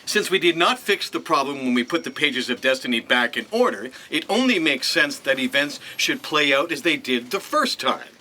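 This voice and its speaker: A man's voice: a little high, very animated, sharp tone, heavily acted. A man playing a confident, earnest, pompous investigator in a comedic sci-fi audio drama. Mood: confident, reasoning. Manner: earnest, deliberate explaining.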